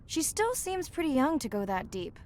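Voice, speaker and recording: An earnest young woman scientist and diver with a fairly high voice. She talks naturally, warm and wry, like a devoted researcher in a video game. There is some noise behind her. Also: sing-song, normal speed. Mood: thoughtful, hopeful.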